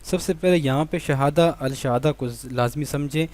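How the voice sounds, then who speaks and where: A man's voice: on the high side, moderate pace, a little mellow. A man with a Pakistani accent teaching an exam-prep law lesson, reading out items and then explaining them with rhetorical questions and repetition. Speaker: law lecturer.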